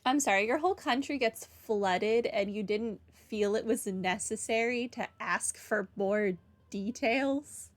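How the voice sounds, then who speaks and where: A woman's voice: a little high, measured pace, hardly any pauses. A woman gamer giving casual, wry, teasing reactions during a playthrough. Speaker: gameplay streamer. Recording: game music clearly behind.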